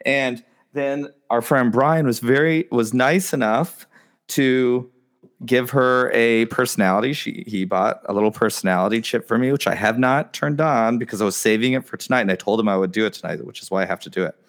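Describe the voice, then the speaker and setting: A man hosting a podcast live stream in casual, excitable chat, rambling with lots of fillers. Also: medium pitch, brisk. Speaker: podcast host.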